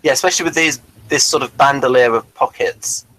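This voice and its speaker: A man with a mid-pitched, strikingly bright and forward voice hosts a casual review chat. He talks like a keen fan, halting and restarting as he goes. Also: rough recording. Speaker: host of a TV review show.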